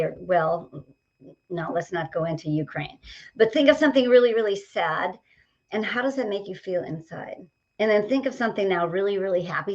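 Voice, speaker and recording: Energetic woman nearing seventy, lo-fi. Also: mid-range voice. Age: 60s. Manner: energetic, upbeat, talks in stories and examples. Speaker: guest, motivational coach. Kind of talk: podcast conversation.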